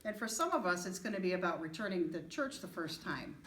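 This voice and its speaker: Woman reading a children's story, very lively intonation. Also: fairly low pitch, brisk, a little hiss or hum. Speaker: storybook reader.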